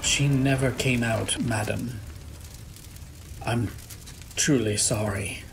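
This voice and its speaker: A man plays an English household servant in an old-time radio drama. He is deferential and rattled, speaking slowly and haltingly at a middling pitch. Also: steady tone, vocal fry, soft background music. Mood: shaken, respectful. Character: deferential, shaken English manservant.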